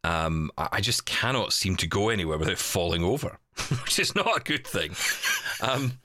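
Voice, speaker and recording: A man's voice: sits low, very animated, moderate pace, bright, forceful tone. A man chatting casually as a podcast host, candid and a little hesitant, often repeating his words. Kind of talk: casual chat.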